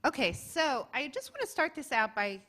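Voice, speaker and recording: Bright-voiced woman moderating a panel. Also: high-pitched, very animated, moderate pace. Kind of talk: presenting research to an audience.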